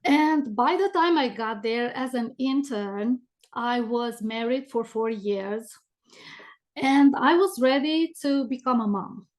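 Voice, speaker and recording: A woman's voice: fairly high pitch, slow. A middle-aged woman with a thick Romanian accent, talking conversationally as a podcast guest. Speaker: podcast guest, business coach. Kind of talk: conversational interview storytelling.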